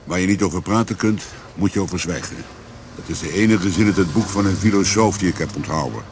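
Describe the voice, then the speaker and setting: An actor playing a stern, persistent police commissioner in a radio drama, with a measured, probing, formal manner. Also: male voice, deep voice, darkish tone. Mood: calmly insistent. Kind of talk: Dutch radio drama acting. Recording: faint background noise.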